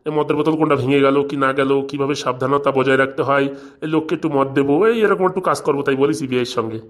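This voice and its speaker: A man's voice: mid-range voice, fairly even intonation, rapid-fire, natural voice. A man voicing a scheming, blustering father of a grown son in a comic cartoon. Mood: boastful scheming. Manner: wheedling, blustering, colloquial.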